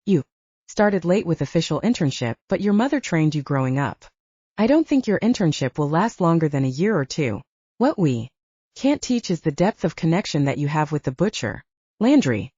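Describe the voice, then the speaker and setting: A woman reading the part of a calm, measured middle-aged man, a father and leader, in an audiobook, gently serious in manner. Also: low voice, slightly rough, dry recording, full character voice. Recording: slightly dull lo-fi audio.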